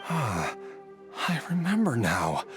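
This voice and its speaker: A troubled, determined game character. His delivery is halting and emotional, swinging widely in pitch around a medium register, over faint music. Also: wildly swooping pitch, a bit bright, exaggerated voice. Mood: troubled, straining. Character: troubled, determined man with failing memory. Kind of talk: video game voice acting.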